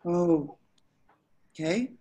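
A man's voice: sits high, expressive, very slow, dark tone, exaggerated voice. A man reading the part of an awkward, smitten young slacker in an amateur table read, stammering and hesitant. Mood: flustered. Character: awkward, smitten, dim young male slacker, nervously earnest. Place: online group video call.

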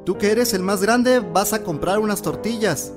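A man's voice: sits high, moderate pace, over music, natural voice. An actor playing a bewildered, grieving widowed father in an audio drama, with a Mexican accent and a plaintive, hesitant delivery. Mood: tender, reassuring.

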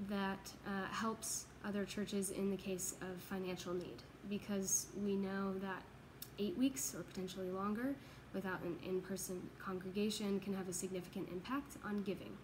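A woman's voice: mid-range voice, steady tone, moderate pace. Young American woman, faint room noise behind. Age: young adult. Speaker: church pastoral associate. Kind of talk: informal video update to fellow pastors. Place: large open indoor office space with exposed ductwork.

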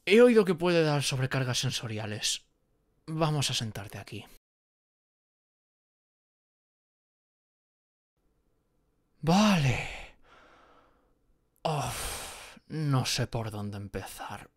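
A man playing a nervous, gentle gorgon who works as an artist, with a hesitant, flustered way of talking in an audio roleplay. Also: medium pitch, sing-song, takes it slowly, pauses often, dry recording, clean studio sound. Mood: anxious, apologetic. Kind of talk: dramatic ASMR roleplay monologue.

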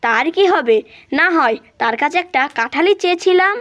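A woman's voice: high voice, lively intonation, fairly quick, a bit bright, dull audio. A woman voicing a poor young fox child in a cartoon, plaintive and a little cheeky. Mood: cheeky, complaining. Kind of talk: cartoon voice acting.

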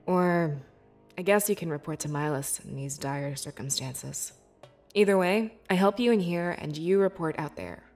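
A woman playing a young, devoted beast-folk scribe in a fantasy video game, with earnest, measured and expressive delivery. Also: fairly low pitch, faint music behind, close to an everyday voice. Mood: explanatory, friendly. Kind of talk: scripted video game character dialogue.

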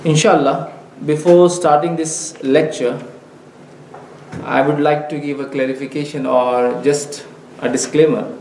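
A man's voice: on the high side, fairly even intonation, a little mellow. Earnest lecturer, Urdu his first language. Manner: careful, deliberate, earnest. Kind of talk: religious lecture. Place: mosque meeting room. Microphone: headset mic. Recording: echoey room, faint hiss.

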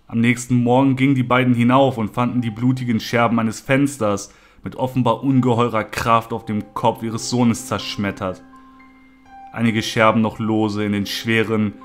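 A man narrating a scripted horror story, acting out bits of dialogue as he goes. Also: mid-range voice.

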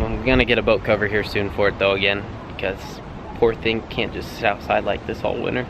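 A young man giving a relaxed, rambling vlog update, filming himself with a handheld camera at arm's length outdoors and in a garage, with plenty of fillers. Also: medium pitch, background noise. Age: young adult. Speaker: vlogger. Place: outdoors at a storage lot, in a truck, and in a home garage.

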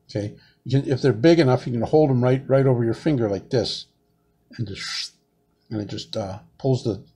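A man's voice: medium pitch, warm tone, breathy edge. A man in his sixties chatting casually in his home kitchen as he peels shrimp, rambling and saying "man" a lot. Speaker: home cooking vlogger. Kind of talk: casual cooking demonstration. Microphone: camera close on a table.

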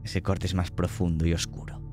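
A man with a Spanish accent narrating a tabletop horror game as game master, slow, descriptive and dramatic. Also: deep voice, little pitch movement, music in the background.

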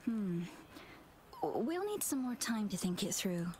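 A woman playing a warm, excitable young bride-to-be in a video game. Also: medium pitch, slow, slight background noise. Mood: excited, affectionate. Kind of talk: English video game voice acting.

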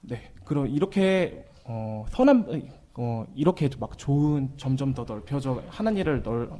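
Mellow-voiced young man hosting radio. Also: mid-range voice, big pitch swings, unhurried. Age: 20s. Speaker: radio host. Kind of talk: community radio interview.

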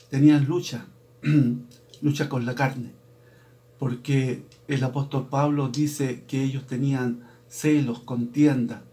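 A man in his fifties, a preacher's assistant, giving a slow sermon with a Bible reading, often clearing his throat, recorded on a webcam in a small room at home. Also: mid-range voice, dark tone. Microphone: webcam at desk, video call framing.

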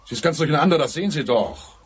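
Very raspy, brusque protective man. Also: a little high, expressive, fast talker. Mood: indignant, stern. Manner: brusque, commanding. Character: gruff, protective, indignant man confronting a detective. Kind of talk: crime radio drama acting.

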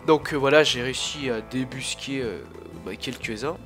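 A man's voice: mid-range voice, moderate pace, fairly bright tone, faint music behind. A young man with a cold who coughs now and then, chatting casually and rambling through his commentary on a strategy game, full of fillers and restarts. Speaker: gaming YouTuber.

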